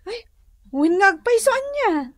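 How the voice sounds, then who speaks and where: High-voiced, modest young office worker. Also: female voice, sing-song, measured pace, dry recording, clean studio sound, heavily acted. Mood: playful, modest. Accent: Filipino. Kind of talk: Ilocano radio drama acting.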